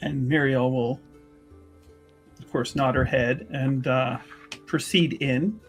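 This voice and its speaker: A man's voice: mid-range voice, steady tone, takes it slowly, faint music behind. A man casually narrating his character's actions as a player in a tabletop roleplaying game.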